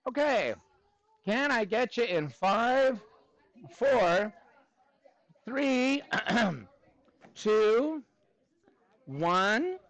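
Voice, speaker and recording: A man with a high-pitched voice works as a teacher in front of his class. He talks informally and with animation, encouraging the students as he goes, and the sound is close and dry. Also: sing-song, extremely slow, pauses often, fairly bright tone, no echo. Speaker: middle school math teacher.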